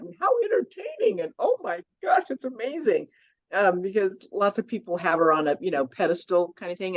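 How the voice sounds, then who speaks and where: A woman author as a podcast guest, telling stories in a lively way with many fillers. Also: lowish voice. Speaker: children's book author and guest. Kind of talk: conversational podcast interview. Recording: dull lo-fi audio.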